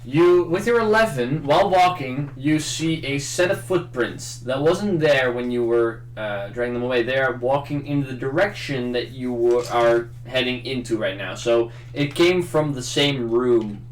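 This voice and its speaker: A man's voice: medium pitch, unhurried, few pauses. A man narrating a tabletop roleplaying game as game master, in an echoing room. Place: indoor room, group game livestream. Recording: roomy, echoing room sound.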